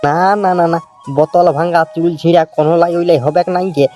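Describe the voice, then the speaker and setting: Cartoon village ice cream hawker guy, done by a male actor, purulia accent, loud-mouthed teasing, singsong repeats, bit of music behind. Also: a little high, little pitch movement, fast, natural voice. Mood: teasing, indignant. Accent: rural Purulia, West Bengal. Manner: repetitive singsong street-vendor calls, scolding banter. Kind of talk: comedy cartoon dialogue.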